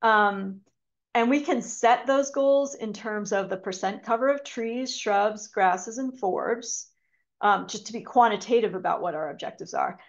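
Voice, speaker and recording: A woman presents a conservation talk over a video call, pausing often with ums and correcting herself mid-sentence. Her voice has a gravelly fry at phrase ends, and the call audio is dull and lo-fi. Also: medium pitch. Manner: hesitant, frequent ums and restarts. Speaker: conservation speaker giving a talk. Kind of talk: webinar lecture with slides.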